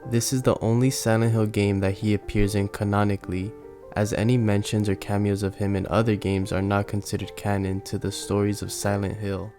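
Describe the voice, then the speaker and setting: A man's voice: lowish voice, flat delivery, a little fast, mellow. A man reading scripted explanatory narration for a video essay. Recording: music behind the narration.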